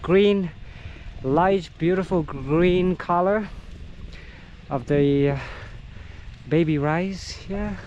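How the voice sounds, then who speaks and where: A middle-aged man whose first language is Vietnamese, working as a local guide and narrating a casual vlog outdoors in an unhurried, halting way with many fillers. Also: sits high, a little mellow, highs cut off, a little hiss or hum. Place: outdoors on countryside trails.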